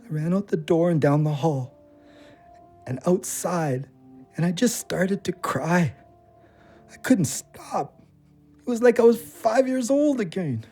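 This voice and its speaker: A troubled man looking for refuge in a radio-style drama. His delivery is brooding and full of self-loathing, moving widely in pitch. Faint music sits behind him. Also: warm tone, exaggerated voice. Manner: brooding, emotionally volatile. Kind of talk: audio drama acting.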